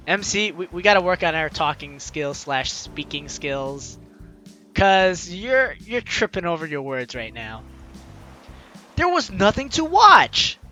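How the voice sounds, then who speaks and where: A man with a high, very lively voice narrates a video game aloud as its host. His delivery is bright and forceful, slipping into playful, teasing commentary, with music playing underneath. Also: takes it slowly. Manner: playful, teasing, exclamatory, talks to the audience. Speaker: let's-play host. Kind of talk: reading game text aloud with excited commentary.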